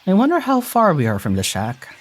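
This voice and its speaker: An actor playing a warm, teasing young traveler in an audio drama who laughs often and speaks sincerely. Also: medium pitch, huge pitch swings, faint music behind. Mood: moved. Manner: playful, laughs often, unhurried.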